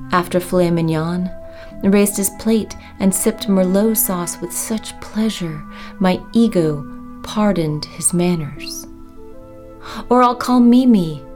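A woman poet reads her own poem expressively, savoring phrases and pausing for emphasis. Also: medium pitch, warm tone. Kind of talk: expressive poetry reading of own work.

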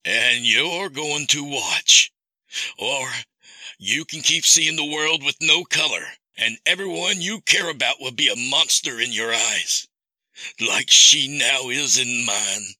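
A man voicing a sadistic, coldly amused jilted husband in an audio horror story, taunting with menacing calm. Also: mid-range voice, slow, dry recording, voice pushed up, rough recording. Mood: cold menace.

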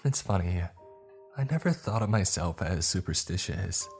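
A man's voice: low-pitched, lively intonation, moderate pace, creaky, darkish tone, faint music behind, pitched above the performer's own voice. A man voicing a jittery woman who is lost in a graveyard at night, nervous and apologetic. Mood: anxious.

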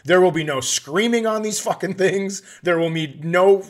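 A middle-aged man hosting a comedy podcast, telling stories casually with swearing and animated outbursts. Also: high-pitched, moderate pace. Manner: profane, animated when acting out his outbursts.

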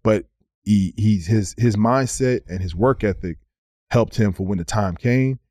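Low-voiced dude telling hoop stories on a podcast, dry close mic, gets animated and repeats himself. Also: male voice, a little slow, no echo. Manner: animated storyteller, slips into quoted voices, repeats words. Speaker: podcast guest, former pro basketball player. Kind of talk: casual storytelling.

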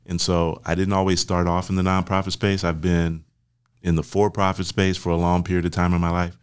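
Deep-voiced older man, easygoing. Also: level tone, brisk, clear, resonant tone. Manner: laid-back, affirming, speaks in soft trailing phrases. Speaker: podcast guest. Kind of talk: casual chat.

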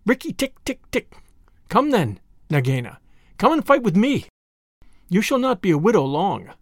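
Storybook mongoose hero, male, acted by a narrator: bold, challenging, exclamatory, with lively swings in pitch. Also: normal speed, no echo, clean studio sound. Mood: boastful, fierce. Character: bold, fierce, taunting young male mongoose fighter.

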